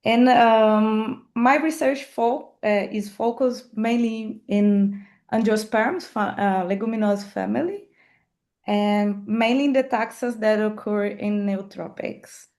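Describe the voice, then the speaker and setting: A woman's voice: a little high, slow, a little mellow. A woman from Brazil, a professor, introducing herself on a video-call webinar with fillers and self-corrections. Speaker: professor and herbarium curator.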